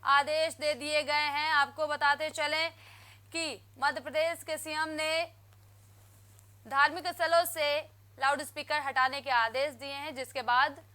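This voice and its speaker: A woman reading scripted television news bulletins in a crisp, formal newsreader manner. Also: high voice, pushed and bright. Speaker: news anchor.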